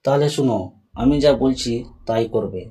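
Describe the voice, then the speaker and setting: A man voicing a grieving, vengeful father goat in a cartoon animal fable, speaking in a measured, stern way. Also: mid-range voice, mellow, close to an everyday voice. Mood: grieving, resolved.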